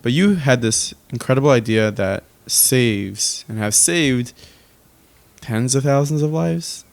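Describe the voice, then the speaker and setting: A man's voice: medium pitch, expressive, slow, creaky. A man co-hosting an interview, friendly and teasing as he asks questions. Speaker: podcast co-host. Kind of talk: casual interview chat.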